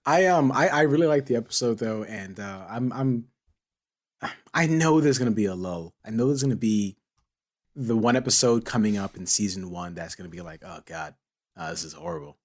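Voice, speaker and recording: A man hosting a casual, joking podcast chat, who talks haltingly, repeats his words and chuckles as he goes. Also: fairly low pitch, expressive. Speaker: podcast host. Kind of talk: casual comedic panel chat.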